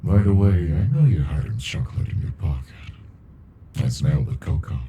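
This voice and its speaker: A man voicing an ancient, weary alien monster in captivity for an ASMR roleplay, speaking in a gravelly, brooding murmur, condescending yet intimate. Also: animated, moderate pace, mellow, slight background noise. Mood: low, teasing menace. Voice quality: very deep, gravelly, breathy murmur. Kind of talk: ASMR character roleplay monologue.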